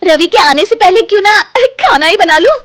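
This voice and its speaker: A woman voicing a lazy, spoiled and hot-tempered young wife in an animated story, talking in a shrill, whiny and fretful way. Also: moderate pace, no echo. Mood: eager. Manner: loud, fretful and easily frightened.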